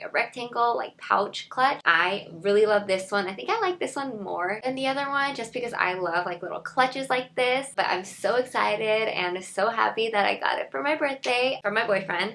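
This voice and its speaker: A woman in her twenties, a little raspy today, gushing through a casual unboxing vlog in her bedroom with lots of filler words. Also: a little high, sharp tone. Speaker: beauty and fashion vlogger. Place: bedroom, indoors.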